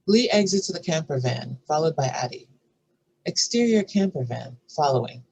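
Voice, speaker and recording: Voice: mid-range voice, animated, normal speed, vocal fry, a little mellow, slightly rough, dull audio. A narrator reading scene headings and stage directions from a screenplay in a scripted style. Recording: faint background noise and room ambience.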